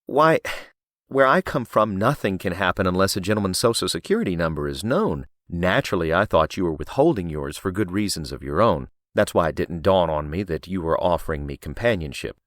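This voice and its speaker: A man playing a polite modern gentleman in an audiobook, explaining things with earnest mock sympathy. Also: low-pitched, animated, a little fast, dry recording, studio quality. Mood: smooth sympathy. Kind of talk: audiobook character acting.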